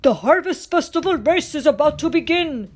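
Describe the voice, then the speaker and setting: A woman voicing a commanding male village chief for a children's storybook, in a big, booming, declamatory voice with noise in the recording. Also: expressive, moderate pace, darkish tone. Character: booming old village chief announcing a race.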